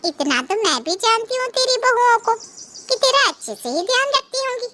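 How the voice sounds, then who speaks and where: Casting: woman as gossipy older auntie next door, piercing bright high voice, swooping delivery, cartoon. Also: moderate pace, slight background noise, heavily acted. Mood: surprised concern. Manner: animated, nosy. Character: gossipy, meddling older woman neighbour and friend. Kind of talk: cartoon moral story acting.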